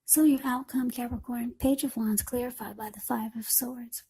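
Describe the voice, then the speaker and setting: A woman tarot reader with a husky, breathy voice, speaking informally to the viewer in short, unscripted phrases. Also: a little high, fairly even intonation, moderate pace, mellow, a little hiss or hum.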